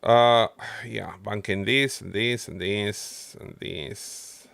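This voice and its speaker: A man's voice: fairly low pitch, extremely slow, vocal fry, a bit bright, clean tone, dry recording. A man doing casual livestream game commentary, muttering his running thoughts to himself as he plays. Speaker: game streamer.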